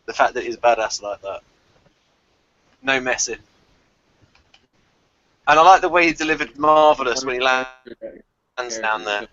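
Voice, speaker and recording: Male review-show host, forceful bright voice, chatty and emphatic, casual discussion over lo-fi call audio. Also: medium pitch, unhurried, long pauses, no room sound.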